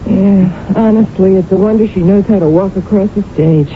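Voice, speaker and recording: An actress playing a wry, playful, envious wife in an old-time radio drama, with a theatrical delivery. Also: female voice, medium pitch, moderate pace, natural voice. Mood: envious, mocking. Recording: thin, phone-like old radio recording with hiss.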